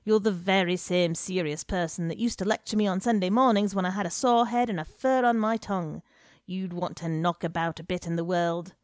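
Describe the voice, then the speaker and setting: A woman voicing a loud, worldly male journalist who brags jovially, in a dry recording. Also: fairly low pitch, fairly even intonation, a little fast, no room sound, close to an everyday voice. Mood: swaggering. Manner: brash, swaggering, jovial. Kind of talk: audiobook character reading.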